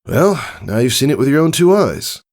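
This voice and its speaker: A man's voice: mid-range voice, big pitch swings, moderate pace, darkish tone, pitched above the performer's own voice. A man voicing an easygoing father pegasus in a story, proud, teasing and encouraging in a casual, upbeat way. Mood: proud, cheerful.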